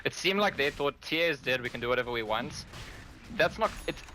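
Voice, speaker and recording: A man's voice: medium pitch, animated, brisk, sharp tone, a little hiss or hum. A man with a South African accent giving thoughtful, explanatory color commentary on an esports match. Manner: thoughtful, explaining, laughs lightly.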